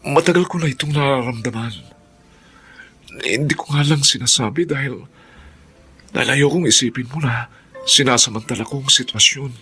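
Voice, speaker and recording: A man playing an earnest, patient, devoted young suitor in a Filipino radio drama, speaking gently and reassuringly. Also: medium pitch, moderate pace, long pauses, slightly rough, faint music behind. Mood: tender confession.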